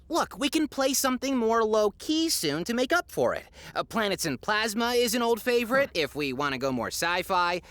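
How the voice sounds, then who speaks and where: Guy playing gushy nerdy game master dude, super high voice, swoopy and awkward, audio comedy. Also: fairly bright tone, dry recording, heavily acted. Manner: effusive, halting, awkward. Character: gushing, nerdy male game master and excitable fan. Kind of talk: comedic audio drama acting.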